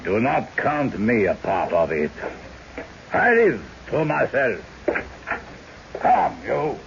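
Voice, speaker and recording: A man's voice: mid-range voice, big pitch swings, slow, gravelly, exaggerated voice. An actor playing a surly foreign hard man, speaking terse broken English in a radio drama. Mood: curt, defiant. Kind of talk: old-time radio drama acting. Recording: dull noisy radio broadcast, background chatter.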